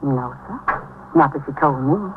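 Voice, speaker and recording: Grieving maid on muffled old radio. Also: female voice, very deep voice, animated, measured pace. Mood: subdued, deferential. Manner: plain, deferential speech, repeating herself sorrowfully. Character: grieving, shaken maid mourning her murdered employer. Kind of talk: 1950s radio drama acting. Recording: muffled, noisy 1950s radio recording.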